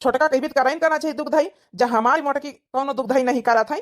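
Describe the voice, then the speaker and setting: A woman's voice: sits high, steady tone, fast, natural voice. A woman voicing a blunt village farmer, the elder brother of a cartoon family, reproachful in manner, with an Awadhi accent. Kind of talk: comic cartoon dialogue.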